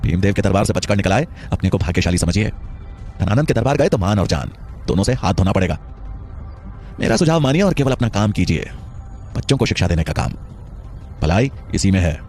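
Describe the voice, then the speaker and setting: A man's voice: fairly low pitch, big pitch swings, a little fast, long pauses, a little mellow, faint music behind. A man playing a cynical teacher who speaks in a taunting, sardonic way to his colleague. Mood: mocking. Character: mocking, cynical male teacher, scornful colleague.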